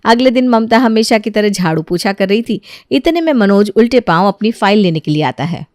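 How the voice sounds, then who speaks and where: A woman narrating a scripted moral story as an expressive storyteller. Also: mid-range voice, brisk, no echo, clean studio sound.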